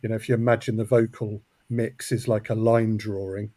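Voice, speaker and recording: A man's voice: fairly low pitch, level tone, moderate pace, warm tone, dry recording. A man speaking as an interview guest, explaining his production work in a conversational way. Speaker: audio drama co-creator and producer, interview guest.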